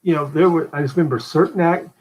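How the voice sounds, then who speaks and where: A man joining a livestream call, chatting casually in quick bursts. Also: a little high, a little mellow. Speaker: guest on a call. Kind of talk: casual chat.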